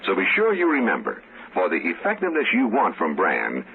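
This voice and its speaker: A man's voice: low-pitched, huge pitch swings, a little fast, vocal fry. A man with an American accent reading scripted announcements as a 1950s radio announcer. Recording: narrow-band old radio recording.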